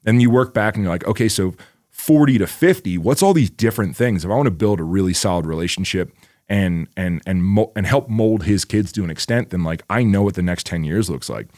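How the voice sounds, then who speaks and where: Podcast co-host, male, around forty, very low voice, dry close sound, loose rambling delivery with frequent cursing. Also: a little fast, a little mellow, dry recording. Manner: rambling, swears freely, many "like" fillers. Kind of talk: casual chat.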